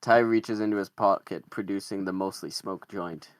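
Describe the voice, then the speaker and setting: A man's voice: low-pitched, steady tone, normal speed, dry recording, clean studio sound. A man reading screenplay stage directions as narrator at a table read.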